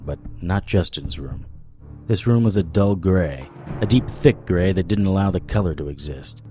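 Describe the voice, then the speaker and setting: A world-weary paranormal investigator with a low voice. He narrates his own case like noir radio drama, wry and deadpan, gentle when talking to children. Music plays behind him. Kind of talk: first-person noir audio drama narration.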